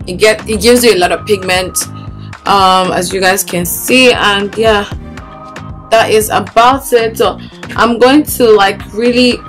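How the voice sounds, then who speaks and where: A woman's voice: medium pitch, a bit bright. A young woman casually chatting through a makeup tutorial in a small room, talking loosely with lots of "like" fillers. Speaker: beauty vlogger. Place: small indoor room against a plain wall.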